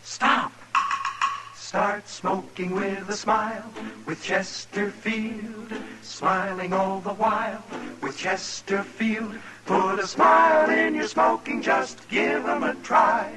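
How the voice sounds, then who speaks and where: A man's voice: high voice, takes it slowly, pauses often, rough recording. A man performing a 1950s radio advertising jingle. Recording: old radio broadcast with music.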